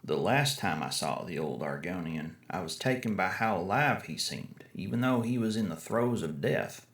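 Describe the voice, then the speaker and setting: A man's voice: deep voice, lively intonation, moderate pace, fairly bright tone, slightly rough. A man performing as a storyteller, giving theatrical scripted narration.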